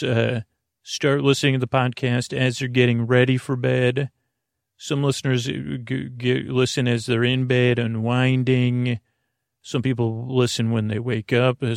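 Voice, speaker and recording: A man with a gravelly, creaky voice hosts a sleep podcast, recorded close and dry. He talks in a halting, meandering monologue at an everyday middle pitch, wandering from one tangent to the next. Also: no room sound. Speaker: podcast host. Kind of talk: rambling bedtime podcast monologue.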